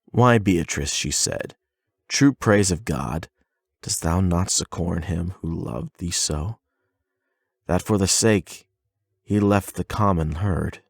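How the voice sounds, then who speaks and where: A man with a husky, gravelly voice speaking softly and intimately in an unhurried bedtime ASMR style, close to the microphone. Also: low-pitched, no room sound. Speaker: ASMR boyfriend roleplay performer. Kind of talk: soft bedtime reading and intimate ASMR roleplay.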